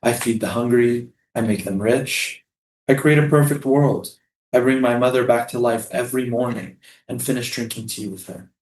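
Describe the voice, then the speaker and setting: A man playing a poetic, defiant prisoner, speaking lyrically and deliberately in a theatrical staged reading. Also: mid-range voice, steady tone, a little mellow, close to an everyday voice. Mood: defiant, dreamy. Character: male prisoner Jamil, dreamy, defiant, poetic. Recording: echoey live stage room.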